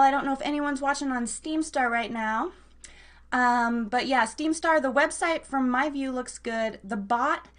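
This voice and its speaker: High-voiced American woman hosting casually. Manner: bubbly, playful word coinages. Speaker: radio show host. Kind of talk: casual live podcast hosting.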